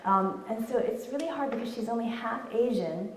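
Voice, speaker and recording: A woman's voice: fairly high pitch, normal speed, background noise. A woman in her thirties, an author and illustrator, chatting casually while answering audience questions, laughing often. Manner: chatty, laughs often, many fillers and restarts. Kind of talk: casual Q&A talk. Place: room with an audience. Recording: echoey room, distant mic.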